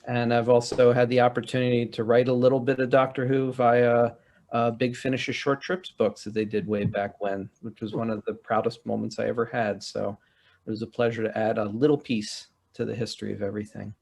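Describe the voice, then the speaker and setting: A man's voice: mid-range voice, level tone, brisk, creaky, darkish tone. A man from the United States talking reflectively and articulately in a panel discussion. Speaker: panelist, publisher and writer.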